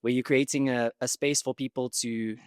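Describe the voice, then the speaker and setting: A man's voice: medium pitch, fairly even intonation, moderate pace, smooth, no room sound, clean studio sound. A man hosting a podcast, reading an upbeat intro and then chatting with his guest in a loose, filler-heavy way. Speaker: podcast host. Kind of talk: podcast intro and casual interview.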